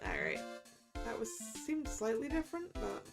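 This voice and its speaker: A woman's voice: fairly high pitch, wildly swooping pitch, moderate pace, vocal fry. A woman giving casual commentary as she streams a playthrough of a video game. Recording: music playing behind the voice. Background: game background music.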